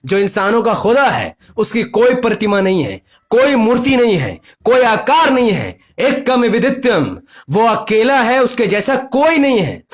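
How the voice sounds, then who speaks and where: A man's voice: very high voice, very animated, measured pace. A man giving an impassioned political monologue to viewers, emphatic and confrontational, leaning on repetition and rhetorical questions. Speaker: political commentator and video host.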